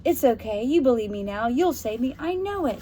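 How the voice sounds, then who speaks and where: A woman reads as a selfless young girl in a children's book. Her voice is lifted a little high, earnest and insistent, offering reassurance. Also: lively intonation, fairly quick, mellow, a little hiss or hum, natural voice. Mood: reassuring, earnest.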